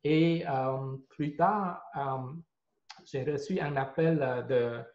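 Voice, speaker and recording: A man's voice: medium pitch, slow pace, darkish tone. A man in his forties from Cambodia, a Khmer speaker, telling his faith testimony hesitantly with many fillers and repeated words. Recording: roomy, dull lo-fi sound.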